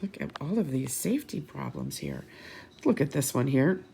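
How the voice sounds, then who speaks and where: Animated, husky-voiced woman reading to children. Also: very deep voice. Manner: expressive, pausing for asides and questions to young listeners. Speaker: children's storytime host. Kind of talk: animated picture-book read-aloud for children.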